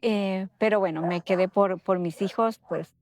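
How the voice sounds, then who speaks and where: A middle-aged woman from Ecuador, telling her personal story haltingly as an interview guest, often checking in with tag questions. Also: medium pitch, fairly even intonation, darkish tone.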